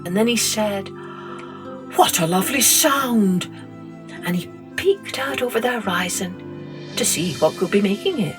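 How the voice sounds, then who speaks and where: A middle-aged woman, reading a children's story gently and expressively as a podcast host. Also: medium pitch, music in the background. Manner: gentle, slow and expressive read-aloud.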